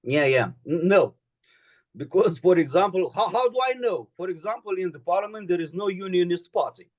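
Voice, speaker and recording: A man's voice: high-pitched, expressive, a little fast. A man from Moldova, a native Romanian speaker with a Romanian accent, answering student questions in a hesitant way, full of fillers and restarts. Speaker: guest speaker.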